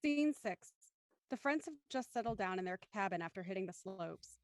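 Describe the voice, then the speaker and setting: Female narrator for scripted stage directions, mid-pitched, very dry recording. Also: animated, moderate pace, no room sound.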